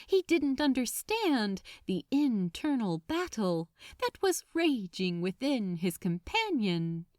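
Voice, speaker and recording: Female fiction narrator, higher register, dramatic and slow-paced, very dry recording. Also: big pitch swings, a little mellow, no room sound. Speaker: audiobook narrator.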